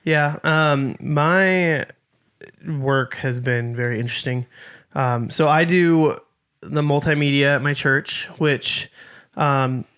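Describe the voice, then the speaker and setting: A man's voice: fairly high pitch, slow, fairly bright tone, smooth. A man in his late twenties co-hosting a casual podcast, speaking with dry, self-deprecating humor. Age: 20s. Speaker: podcast co-host. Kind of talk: casual chat.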